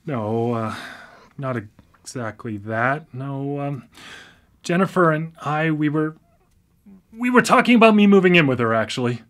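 A man's voice: a little high, lively intonation, a little slow, long pauses, fairly bright tone. An actor playing a dependent adult brother in a naturalistic radio drama, hesitant and defensive, stammering into his sentences as he pushes for independence. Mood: nervous, tentative.

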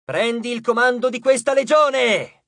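A young male officer acted out by a man narrating declaims like a drill sergeant. His voice is high, loud and solemnly ceremonious, with commanding force, in a dry fantasy audiobook recording. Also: a little slow, dry recording, exaggerated voice.

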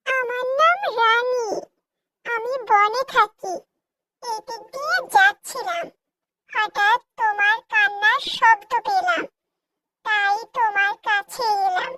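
Kind fairy girl, children's cartoon, squeaky high, bright, gentle, friendly. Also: takes it slowly, heavily acted. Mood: reassuring. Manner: gentle, reassuring.

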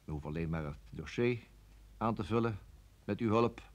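An actor playing a cool, authoritative security service interrogator in a radio play, formal, measured and curtly polite. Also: male voice, lowish voice, expressive, lightly acted. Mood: measured, accusing. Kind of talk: Dutch radio play acting.

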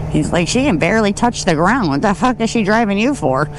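A woman from upstate New York telling a family story casually while riding, hesitant and unhurried, with fillers, restarts and the odd swear. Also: mid-range voice, animated. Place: outdoors on a motorcycle in traffic. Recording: loud road and engine noise.

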